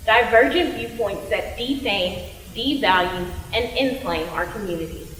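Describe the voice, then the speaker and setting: A woman's voice: medium pitch, a little slow, fairly bright tone, lightly acted. A woman performing a troubled student in a rehearsed readers theater recitation, with clipped phrasing. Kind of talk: rehearsed dramatic group recitation. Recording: echoey hall, distant mic.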